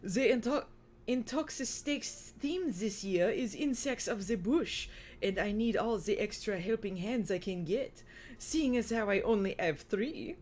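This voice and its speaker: A woman's voice: medium pitch, animated, a little slow, a little mellow, slight background noise, close to an everyday voice. A woman voicing a gushing couture guru creature in a put-on French accent, theatrical and effusive. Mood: delighted, coy.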